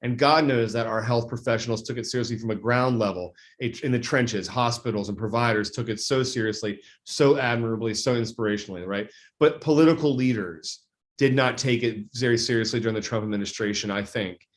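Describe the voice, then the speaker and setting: Male legislator guest, mid-pitched, deliberate, self-correcting answers. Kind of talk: interview answers.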